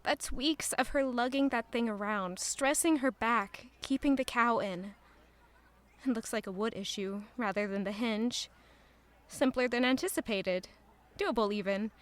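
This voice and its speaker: A woman's voice: fairly high pitch, unhurried, lightly acted. A woman playing a thoughtful young royal who narrates her own story in an audio drama. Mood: reflective.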